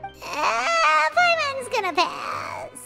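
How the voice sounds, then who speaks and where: A little fairy companion from a video game, extremely high and childlike. The delivery is lively, cutesy and blunt, bouncing around in pitch over prominent music. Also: female voice, very slow, gravelly, full character voice. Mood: hesitant. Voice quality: squeaky, childlike. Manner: refers to self in third person, cutesy.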